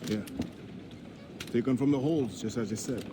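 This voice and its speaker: An actor playing a firm, practical pirate quartermaster in a video game, speaking in a measured, formal and dryly wry way. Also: male voice, medium pitch, background noise, lightly acted. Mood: calm, practical. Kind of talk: video game cutscene acting.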